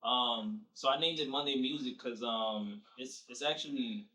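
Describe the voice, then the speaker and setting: A man's voice: medium pitch, unhurried, sharp tone. Laid-back young man, distant echoey mic. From Nigeria, raised in New Jersey. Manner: laid-back, slangy, laughs easily. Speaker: rapper guest. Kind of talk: casual interview chat. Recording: distant mic, roomy echo.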